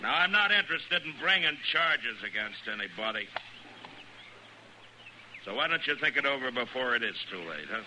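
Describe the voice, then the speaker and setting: Through clear vintage radio noise comes a steady American frontier marshal played by a male actor. His voice sits at a middle pitch. He speaks plainly, with folksy, measured authority, as in an old radio Western. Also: lightly acted. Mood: grave, earnest. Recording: noisy vintage radio recording.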